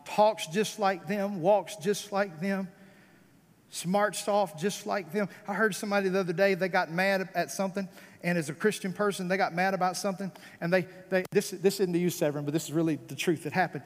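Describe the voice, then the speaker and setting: A man's voice: sits high, fairly even intonation, brisk. A man with an American accent preaching a fervent revival sermon as a pastor, emphatic, repeating phrases and asking rhetorical questions. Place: church service, amplified in a large room. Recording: reverberant large hall.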